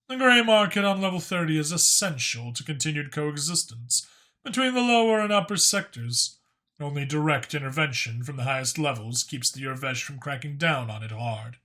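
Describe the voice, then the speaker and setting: Man voicing a composed, bright-toned dragon spymaster. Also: a little high. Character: composed, authoritative male dragon spymaster, scheming and wry. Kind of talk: audiobook character acting.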